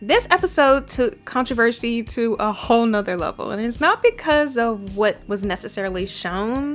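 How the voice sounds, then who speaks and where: A young woman hosting a video essay, mixing scripted commentary with casual, emphatic asides and repeating herself for emphasis. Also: fairly high pitch.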